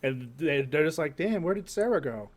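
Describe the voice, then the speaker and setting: A man's voice: fairly high pitch, expressive, normal speed. Casual man chatting on a podcast. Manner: informal, swearing. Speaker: podcast co-host. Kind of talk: casual group chat.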